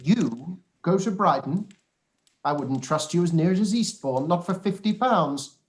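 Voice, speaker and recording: A man playing a weary, dryly sardonic older country gentleman and father in a radio play. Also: sits high, animated. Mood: stern, sardonic. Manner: dry, wry, deliberate. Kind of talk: radio play live read.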